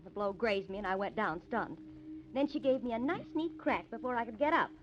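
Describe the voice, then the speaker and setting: A spirited, dry-witted wife acted by a woman in an old radio play. Her voice sits a little high, on a dull 1950s recording with light noise. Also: animated, a little fast, natural voice. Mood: recounting. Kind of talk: 1950s radio drama acting.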